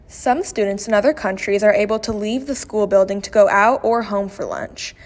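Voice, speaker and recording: A teenage girl narrating a school news feature in an even, scripted way. Her voice sits at an ordinary middle pitch. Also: normal speed. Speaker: student reporter.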